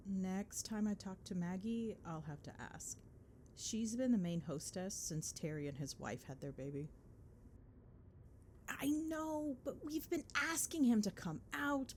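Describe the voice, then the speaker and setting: A woman's voice: mid-range voice, dark tone, a little hiss or hum, close to an everyday voice. A woman playing a chatty, gossipy girlfriend in a casual ASMR phone-call roleplay, with playful, sweary, dramatic griping. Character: chatty, gossipy girlfriend venting on the drive home.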